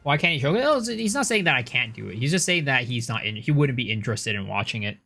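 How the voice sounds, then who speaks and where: Lively man chatting on stream. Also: medium pitch, quick pace, vocal fry. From United States. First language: Cantonese. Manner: rambling, reads chat aloud, swears casually. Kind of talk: casual live-stream chat while gaming.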